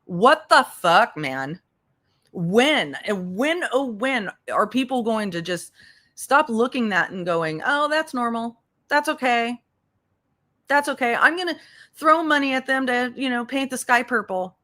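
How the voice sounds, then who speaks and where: A woman's voice: a little high, lively intonation, unhurried, a bit bright. A woman giving casual, unscripted livestream commentary in a blunt, profane, exasperated manner, repeating points for emphasis as she goes. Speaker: livestream host and legal commentator.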